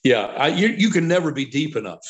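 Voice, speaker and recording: A man's voice: a little high, very animated, fairly quick, lo-fi sound. A middle-aged man talking as a sports pundit on a podcast, emphatic and opinionated, often posing rhetorical questions.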